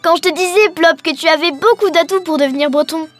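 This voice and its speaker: High-pitched, cheerful cartoon girl. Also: fairly quick. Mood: encouraging. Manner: explanatory, encouraging. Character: cheerful young Breton girl teaching her alien friend. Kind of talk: scripted educational cartoon dialogue. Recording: music behind the voice.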